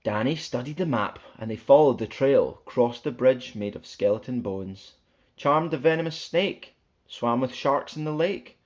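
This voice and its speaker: Male storyteller for a children's picture book: animated rhyming read-aloud, playful, theatrical, mid-pitched. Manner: playful, theatrical, sing-song rhythm.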